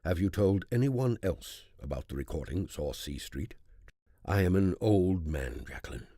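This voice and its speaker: Audiobook role: grave elderly man keeping secrets, acted by a male narrator, bottomless low register, husky and dark. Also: no room sound, studio quality, full character voice. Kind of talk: audiobook character voice.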